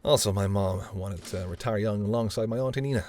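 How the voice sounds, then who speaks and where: Man playing a low-voiced, flirtatious mafia boss. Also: a little fast, darkish tone, close to an everyday voice. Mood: smug, relaxed. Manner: smooth-talking, playful, flirtatious, addresses listener as doll. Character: charming, teasing, apologetic mafia boss smitten with a librarian. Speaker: voice actor in roleplay audio. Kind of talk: intimate second-person roleplay audio acting.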